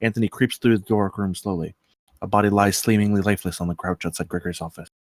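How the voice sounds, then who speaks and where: A man's voice: fairly low pitch, fairly even intonation, quick pace, no echo, studio quality. A man reading stage directions as scripted narration at a comedy screenplay table read.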